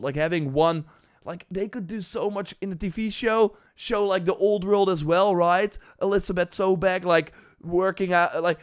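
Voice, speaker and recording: A man giving casual, unscripted gaming commentary in a halting, rambling way, with animated emphasis and little acted-out asides. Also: sits high, clear, resonant tone. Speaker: gaming news streamer reacting to announcements and chat.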